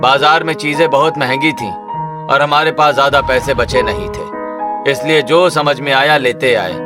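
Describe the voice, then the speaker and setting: A man's voice: medium pitch, moderate pace, over music, pitched below the performer's own voice, close to an everyday voice. Bossy, irritable young village husband acted by a man. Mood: curt. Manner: scolding, bossy, dismissive.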